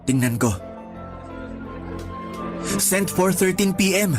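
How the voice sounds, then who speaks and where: A man playing a steady, devoted schoolteacher in a radio drama, talking conversationally with English words mixed in. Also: high-pitched, slow. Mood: grave. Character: steady, devoted male teacher courting his colleague. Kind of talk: Tagalog radio drama acting. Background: faint background music.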